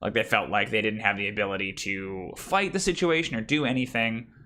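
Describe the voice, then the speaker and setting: A man with a deep voice, a game master thinking aloud about his campaign in a casual, rambling way with many fillers. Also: fairly quick, pushed and bright. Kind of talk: casual prep talk about running a tabletop campaign.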